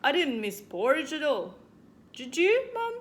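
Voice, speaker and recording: Woman voicing an animated, hearty daddy bear. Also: sits high, a little slow, a little hiss or hum, voice pushed up. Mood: satisfied. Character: contented, hearty daddy bear after a meal.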